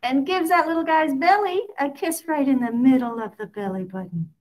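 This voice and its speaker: A woman reads a story for small children in a fairly high voice. Her delivery is expressive and sing-song, stretching out the important words. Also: normal speed. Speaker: picture book reader.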